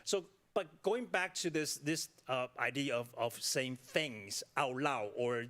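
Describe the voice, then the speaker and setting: A middle-aged man with a Taiwanese accent, speaking as an author at a library talk, animated and full of fillers and restarts. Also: mid-range voice, a little slow. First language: Taiwanese Mandarin. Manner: animated, many fillers and restarts, laughs easily. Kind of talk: casual author talk on stage. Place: library event room.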